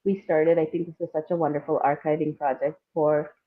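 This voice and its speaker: A woman's voice: sits low, fairly quick. Woman on a phone-like line, chatty guest. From India and Singapore. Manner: animated, laughs often while talking. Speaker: podcast guest, playwright and screenwriter. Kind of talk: casual interview chat, personal storytelling. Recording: thin phone-like call audio.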